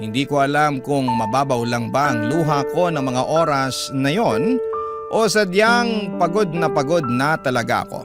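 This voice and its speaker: A man's voice: a little high, expressive, normal speed. A man giving scripted first-person narration in a confessional, wistful manner, in a classic radio-drama style. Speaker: letter narrator. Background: loud background music.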